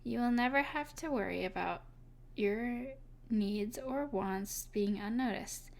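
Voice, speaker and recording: A woman playing an evil sorceress, possessive and tenderly devoted, speaking softly and deliberately in an intimate ASMR roleplay. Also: a little high, creaky. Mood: reassuring. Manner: soft, deliberate, soothing yet possessive.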